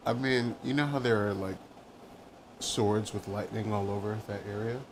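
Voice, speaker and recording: A man's voice: lowish voice, steady tone, moderate pace, a little hiss or hum. A man giving casual live commentary as a game streamer.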